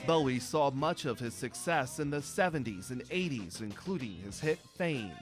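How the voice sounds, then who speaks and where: A man narrating a scripted news report in a measured, formal way. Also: mid-range voice, expressive. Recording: music bed under voice.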